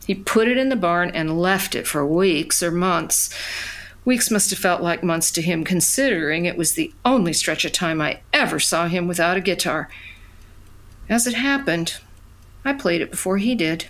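Reflective woman narrator, animated pitch, conversational, wistful, audio drama storytelling. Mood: wistful wonder. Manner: conversational, reflective, with halting repeats. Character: woman recalling her musician past, wistful and wonderstruck.

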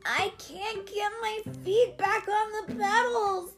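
A woman acts out an impulsive, excitable small boy in a children's storybook. The voice is very high and swoops about with childlike eagerness, with music underneath. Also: slow pace, heavily acted. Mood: alarmed.

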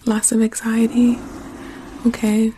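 A hoarse woman chatting casually and reassuringly to her livestream viewers, often repeating herself. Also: fairly high pitch, monotone, takes it slowly, warm tone, a little hiss or hum, poor audio. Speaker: ASMR livestream host. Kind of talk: casual chat to live viewers.

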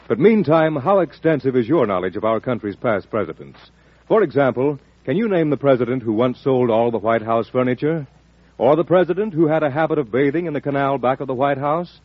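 Animated American vintage radio announcer, man. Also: medium pitch. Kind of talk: old-time radio scripted announcing. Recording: dull, echo-tinged vintage radio recording.

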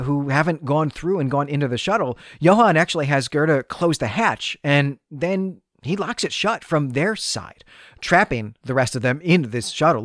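A man's voice: on the high side, no echo, studio quality. A man co-hosting a podcast, recapping and discussing fiction in an articulate, explanatory, enthusiastic way. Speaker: podcast co-host. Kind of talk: conversational literary recap and discussion.

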